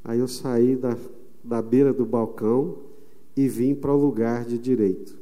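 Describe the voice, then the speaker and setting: Man, Brazilian pastor, sermon, mellow tone, echoing sanctuary. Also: medium pitch, fairly even intonation. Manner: emphatic preaching with slow, drawn-out phrases. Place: church sanctuary. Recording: long reverb of a church hall.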